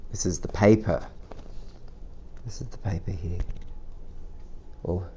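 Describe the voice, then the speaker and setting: A man reading a rhyming children's story aloud in an expressive, drawn-out way, with playful asides and sound effects. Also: low-pitched, highs cut off, slight background noise. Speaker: storybook reader.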